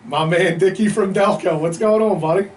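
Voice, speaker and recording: A man's voice: high-pitched, normal speed. A man hosting a sports talk show in casual, slangy, bantering radio style. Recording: distant mic, faint background noise.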